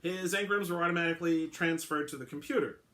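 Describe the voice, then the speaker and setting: A man's voice: a little high, quick pace, sharp tone, pitched above the performer's own voice. A man voicing a middle-aged male clone henchman, earnest and rattled, who keeps correcting himself as he confesses in a story reading. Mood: confessing. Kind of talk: dramatic reading of a short story.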